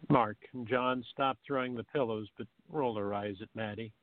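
A man with a gravelly, creaky voice reading scripted narration for a comic radio play, on a tinny, narrow recording. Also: mid-range voice, normal speed, no echo. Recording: tinny, narrow phone-like sound.

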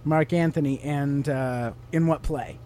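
A man's voice: a little high, fairly even intonation, unhurried, mellow, slight background noise. A man with an American accent co-hosting a podcast in a casual, teacherly chat, asking questions and explaining things simply to a child. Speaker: podcast co-host.

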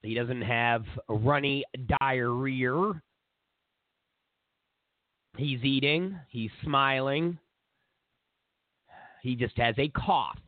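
A man's voice: mid-range voice, extremely slow, long pauses, no echo. Congested man hosting a talk show. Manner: sarcastic, rambling. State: congested from a cold. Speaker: show host. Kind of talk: political talk monologue. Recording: tinny phone-like sound.

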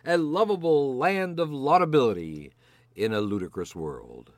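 A man's voice: mid-range voice, big pitch swings, moderate pace. Jokey older man hosting a podcast. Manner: jokey, alliterative, announcer-like flourishes. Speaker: podcast co-host, physician. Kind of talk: playful scripted podcast banter.

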